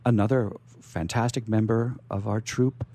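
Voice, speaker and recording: A man's voice: lowish voice, fairly even intonation, moderate pace, mellow. A man hosting a radio show, chatting and introducing guests in an effusive, theatrical way. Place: radio studio.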